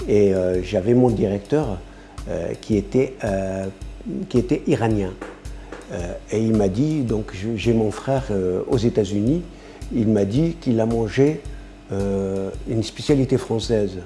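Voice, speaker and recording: A man's voice: on the low side, unhurried, mellow, soft background music. A man talking casually in an interview, hesitant and full of fillers, chuckling between his points.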